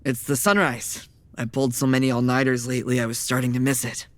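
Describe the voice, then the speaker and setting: An actor voices a sullen young male vampire for an audio drama. He sounds casual and grumbly, with a sarcastic edge and an average male pitch. The recording is close and dry. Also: little pitch movement, quick pace, dry recording, lightly acted. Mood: evasive, casual.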